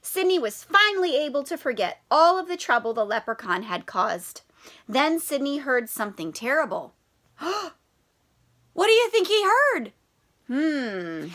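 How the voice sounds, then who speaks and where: A woman's voice: high voice, very animated, a little slow, fairly bright tone. A woman who teaches primary school, reading aloud and talking directly to children with prompting questions.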